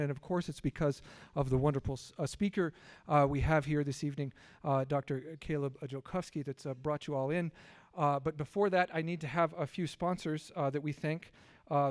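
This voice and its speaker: A man hosts an evening lecture, welcoming the crowd and stumbling through his thanks with lots of fillers. His voice sits a little high for a man, and it is picked up dry and close, with little room sound. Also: darkish tone, smooth, no echo. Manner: halting, many filler words and restarts. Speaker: science communicator, event host. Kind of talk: event introduction and sponsor thanks. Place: lecture event hall.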